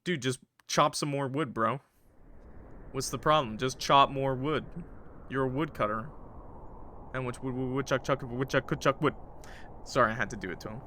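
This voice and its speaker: A man's voice: medium pitch, moderate pace, pauses often, bright, forceful tone, slight background noise. A man streaming a game with casual, jokey, slangy commentary. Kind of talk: casual commentary mixed with read-aloud narration.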